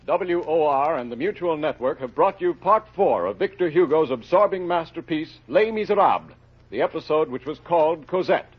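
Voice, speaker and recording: Formal vintage radio announcer, higher-pitched man. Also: expressive, normal speed, a little hiss or hum. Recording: muffled old radio broadcast.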